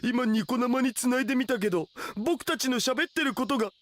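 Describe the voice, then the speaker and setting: A man's voice: very high-pitched, fairly quick. A man playing a laid-back, nerdy young otaku with slangy, teasing internet speak in an anime radio drama. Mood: casual.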